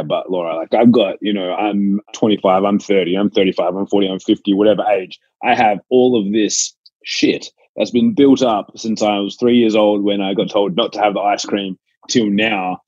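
A man's voice: lowish voice, normal speed, darkish tone, dry recording. A man hosting a podcast interview, asking questions casually and voicing what listeners might think, on a dull lo-fi recording. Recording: dull, lo-fi, dry audio.

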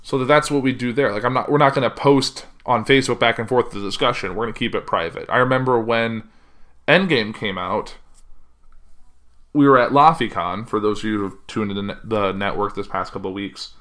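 A man hosting a podcast, talking casually and rambling, with a loud voice that carries and frequent self-corrections. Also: medium pitch, a little fast. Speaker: podcast host. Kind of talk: casual solo chat.

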